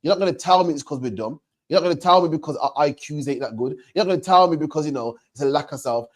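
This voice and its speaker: Guy preaching hard at someone, keeps saying "man", bit higher voice than most. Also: quick pace. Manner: emphatic, repeats points, ends lines with "man". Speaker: religious teacher. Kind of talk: street preaching to a questioner.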